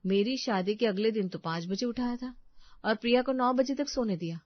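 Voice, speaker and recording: A woman's voice: fairly high pitch, moderate pace, dry recording, dull audio, lightly acted. A woman voicing an overworked, aggrieved young daughter-in-law, plaintive and protesting, in a family drama story. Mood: despairing.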